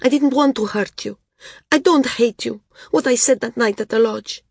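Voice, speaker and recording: Woman acting an upset, high-voiced young girl. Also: normal speed, creaky, a little mellow, slightly rough, no echo, clean studio sound. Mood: apologetic. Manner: emotional outbursts, blurting. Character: upset, fiery young girl, pleading and blunt.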